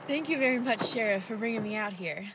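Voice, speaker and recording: A woman's voice: fairly high pitch, fast talker, background noise, close to an everyday voice. A young woman playing an earnest, curious, loyal scientist in an old-time radio drama, polite and proper. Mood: polite, puzzled. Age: young adult.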